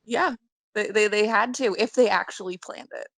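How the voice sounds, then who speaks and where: A woman's voice: mid-range voice, moderate pace, gravelly, a bit bright, dry recording. A woman chatting casually on a movie podcast, speaking informally and peppering her sentences with "like". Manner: informal, heavy use of "like". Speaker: podcast guest.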